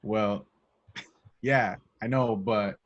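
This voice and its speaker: A man's voice: lowish voice, fairly even intonation, very slow, highs cut off. A man reading the part of a cocky, street-smart young police recruit who talks back, in an amateur script read. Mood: puzzled. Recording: home recording, remote reading.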